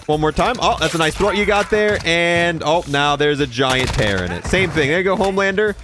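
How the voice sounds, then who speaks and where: A man's voice: a little high, expressive, brisk, music in the background. A man hosting a gaming video with casual, playful gameplay commentary in an informal way.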